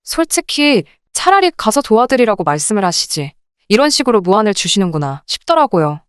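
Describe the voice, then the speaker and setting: A woman's voice: medium pitch, big pitch swings, a little fast, clean tone, no echo, studio quality. A woman reading a scripted first-person story as a radio drama narrator.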